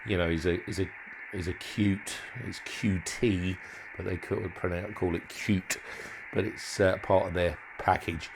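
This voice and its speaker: A man's voice: low-pitched, fairly even intonation, unhurried, gravelly, hardly any pauses, background noise. A man giving an informal hands-on demonstration as a hobbyist, thinking aloud in a hesitant way and often trailing off. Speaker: hobbyist presenter demonstrating modified radio gear.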